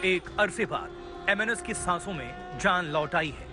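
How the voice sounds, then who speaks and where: A man's voice: sits high, animated, a little slow. A man reading scripted television news narration in an emphatic, dramatic manner. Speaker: news reporter narrating a video package. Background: music bed.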